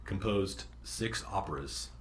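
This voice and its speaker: A man's voice: low-pitched, measured pace, noisy background. A young adult man hosting a trivia podcast, asking questions hesitantly with many restarts. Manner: hesitant, lots of fillers and restarts. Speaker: podcast host, quizmaster. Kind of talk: casual trivia chat. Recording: roomy echo, faint ambience.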